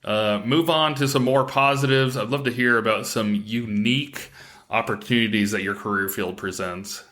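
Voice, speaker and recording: A man hosting a casual interview, telling anecdotes in an animated way and acting out bits of conversation. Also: lowish voice, moderate pace. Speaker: podcast host, former military air traffic controller.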